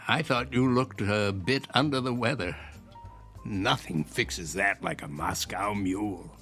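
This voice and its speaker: Old gentleman, low voice, courtly, wistful, chatty, radio drama, faint music underneath. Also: a little slow. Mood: genial. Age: older. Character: genial, wistful old gentleman, a bar regular. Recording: music behind the voice.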